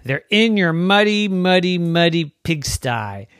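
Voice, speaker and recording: A storyteller voicing a grumpy, bossy male farmer who scolds in a gruff manner. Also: mid-range voice, lively intonation, takes it slowly, dry recording, clean studio sound, voice pushed up. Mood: scolding.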